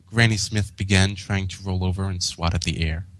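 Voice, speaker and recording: Low-voiced male fan fiction reader. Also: steady tone, moderate pace, fairly bright tone, slight background noise. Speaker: co-host and story reader. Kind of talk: comic fan fiction narration.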